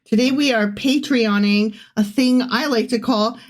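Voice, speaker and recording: Woman cohosting a casual podcast, pretty high voice, throat dry and voice fading, chatty. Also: unhurried. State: losing her voice, dry throat. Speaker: podcast co-host.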